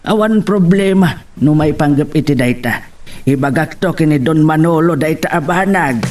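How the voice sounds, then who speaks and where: A man's voice: a little high, moderate pace, a little mellow, faint music behind, natural voice. A man acting a humble, agreeable middle-aged village father in an Ilocano audio drama. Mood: fatherly, approving. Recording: slightly distant from mic.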